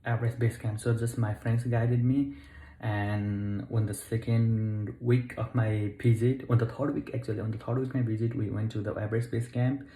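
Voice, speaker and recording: A young man from Nepal speaks to camera in a low, mellow voice with a flat, even delivery. He stops and starts, fills gaps with "uh" and corrects himself as he goes. The sound bounces around an echoey room. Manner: halting, many fillers and self-corrections. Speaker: travel vlogger. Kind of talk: unscripted talk to camera. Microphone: camera mic.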